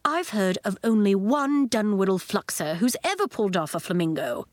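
A woman voicing a grand, theatrical, fussy lady bird keeper in a storybook, with gushing, drawn-out exclamations. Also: mid-range voice, very animated, no echo, natural voice. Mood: impressed.

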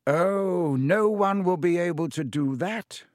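Man reading as a calm, wry old wizard headmaster, genial and measured, pitched above his own voice. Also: no room sound, studio quality. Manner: genial, measured, gently warning.

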